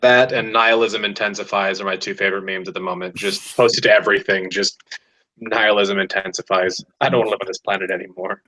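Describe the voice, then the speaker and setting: A man's voice: on the low side, steady tone, quick pace, pushed and bright, rough recording. A man on a group video call, talking in a blunt, profane way. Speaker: call participant.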